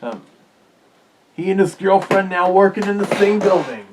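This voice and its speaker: High-voiced man giving casual commentary. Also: expressive, normal speed, background noise. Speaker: reviewer. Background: read-along record playing with music.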